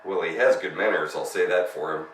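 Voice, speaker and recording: A man voicing a fierce but kindly storybook housekeeper, a brisk and stern woman. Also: deep voice, a bit bright, roomy sound, recorded from a distance, slight background noise.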